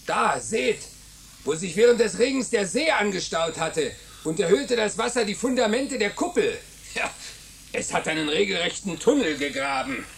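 Brisk programmer, very animated pitch. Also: male voice, creaky, slight background noise. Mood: excited, urgent. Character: Isaac, cautious, skeptical male programmer, excitable explorer. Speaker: radio drama actor. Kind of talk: German science fiction radio play acting.

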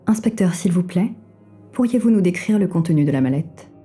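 An actress playing a courteous, mysterious female operative in an audio thriller, speaking in a formal, measured way. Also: lowish voice, lively intonation, dark tone, faint music behind, close to an everyday voice. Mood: coolly polite.

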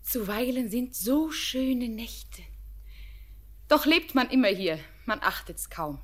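A woman playing a gentle, dreamy young country woman, speaking lyrically in a poetic radio play. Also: a little high, expressive, takes it slowly. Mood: welcoming. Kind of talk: classic German radio play acting, poetic.

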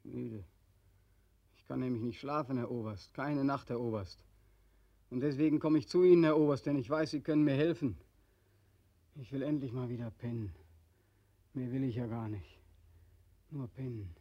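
A worn-out young soldier, played by a man in an old radio drama, quiet and bitterly ironic. His mid voice comes through a dull, muffled vintage recording. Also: mid-range voice, fairly even intonation, normal speed, pauses often, natural voice. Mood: weary. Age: young adult. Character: weary young male soldier back from war, bitter. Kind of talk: 1940s radio play acting.

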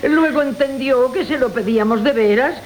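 Woman acting sly grasping old mom, castilian, high voice, old spanish radio play, bit of hiss. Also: normal speed, close to an everyday voice. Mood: sly, mocking. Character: sly greedy older mother coaching her daughter to fleece suitors. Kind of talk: 1980 Spanish radio theatre acting of classic farce.

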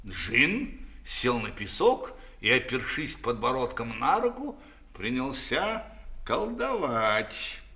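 A man narrating a children's fairy tale in an expressive, theatrical storyteller's manner. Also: medium pitch, slow, gravelly. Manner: expressive, dramatic delivery.

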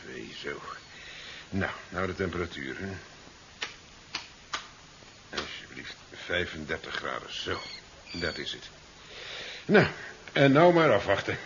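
An actor playing a genial, dismissive scientist in a 1970s radio drama, muttering hesitantly and fussily. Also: male voice, low voice, sing-song, slow pace, pauses often, exaggerated voice. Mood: absorbed, muttering. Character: dithering, genial scientist host, dismissive of danger. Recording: dull, hissy 1970s radio recording.

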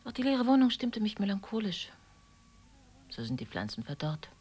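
A husky, wistful woman. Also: medium pitch, sing-song, moderate pace, darkish tone. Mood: wistful recollection. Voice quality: husky, breathy, often dropping to near whisper. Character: wistful, gently reproachful woman, former lover of a married man. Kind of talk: German radio play dialogue.

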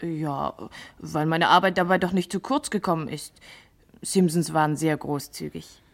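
Nervous young maid in a radio crime play, lowish voice, hesitant and defensive. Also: female voice, moderate pace, vocal fry. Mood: guarded, polite. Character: young newlywed former housemaid, meek, evasive, nervous.

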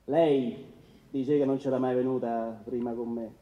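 A man's voice: mid-range voice, steady tone, unhurried, lightly acted. An actor playing an indignant, defensive accused man with a Roman accent and colloquial speech in a courtroom drama. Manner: plain colloquial speech, repetitive. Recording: dull, distant from microphone.

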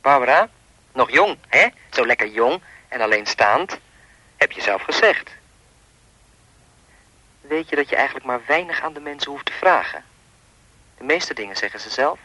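A man's voice: medium pitch, animated, normal speed, pauses often, bright, forceful tone, no room sound, low-quality recording. An actor playing a creepy, insistent anonymous caller in a radio play, needling and insinuating as he speaks. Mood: taunting, smug.

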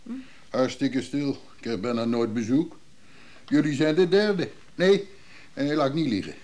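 Male actor as lonely old gent for radio drama: unhurried, folksy, wry, repeats himself, kindly. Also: mid-range voice, expressive, darkish tone, close to an everyday voice. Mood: wistful, resigned. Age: older. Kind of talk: naturalistic Dutch radio drama dialogue.

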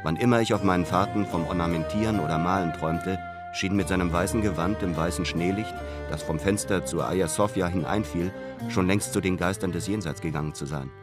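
Actor as deep-voiced, brooding narrator man. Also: fairly quick, loud music behind the voice, natural voice. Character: brooding, lovelorn returning man, former painter's apprentice. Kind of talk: literary first-person narration in German radio play.

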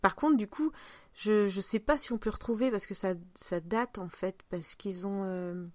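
A woman hosting a podcast in casual chat, enthusiastic and halting, repeating words as she goes. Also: mid-range voice, a little mellow, dry recording. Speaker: podcast host.

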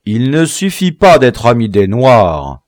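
A man's voice: fairly low pitch, sing-song, takes it slowly, no room sound, clean studio sound, heavily acted. A man voicing an imperious rebel general in an audiobook, haughty and severe, cruelly toying with his captives. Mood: stern, scornful. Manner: haughty, severe, impatient, emphatic.

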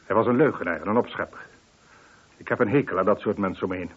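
Tired defensive older rich man, bit low and husky, old radio drama sound, kinda halting. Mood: irritated. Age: late 50s. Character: weary, defensive man nearing sixty, wealthy gun maker. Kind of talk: Dutch radio play acting. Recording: muffled, band-limited old radio recording.

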